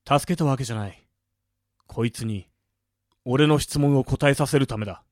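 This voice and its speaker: An actor playing a cold, vengeful older brother who speaks in terse commands in a radio drama. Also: male voice, medium pitch, moderate pace, a little mellow, no echo, natural voice. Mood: cold, commanding.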